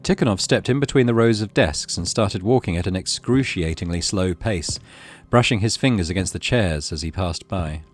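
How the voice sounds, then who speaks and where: Male narrator, low register, highly expressive, suspenseful horror game reading over music. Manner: theatrical, suspenseful. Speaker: gaming channel host reading aloud. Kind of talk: dramatic scripted narration of a horror visual novel.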